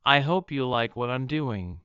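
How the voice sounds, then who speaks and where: Man acting a very smooth, earnest man. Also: mid-range voice, animated, unhurried, bright, forceful tone, no room sound, muffled recording. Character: polite, mild, earnest male aspiring writer. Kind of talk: audiobook drama acting.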